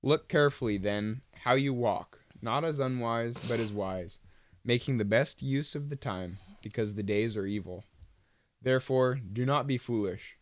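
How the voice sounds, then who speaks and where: A man co-hosting a faith podcast, calmly chatting and reading passages aloud. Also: medium pitch, no echo. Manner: calm, reads passages aloud steadily. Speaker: podcast co-host. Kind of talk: casual chat and scripture reading.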